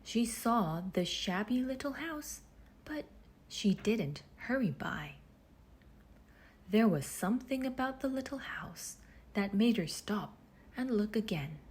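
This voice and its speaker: A woman reading a children's storybook aloud in measured phrases with deliberate pauses, her husky voice turning breathy as phrases end. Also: on the high side, sing-song, darkish tone. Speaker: storybook reader. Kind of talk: children's read-aloud narration.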